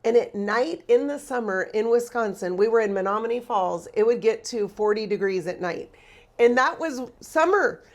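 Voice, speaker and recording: Female podcast co-host, higher-pitched, American with a light Midwestern lilt, chatty storyteller who likes to tease. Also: expressive, moderate pace. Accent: American, slightly Midwestern. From California, United States. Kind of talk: casual chatty podcast talk with anecdotes.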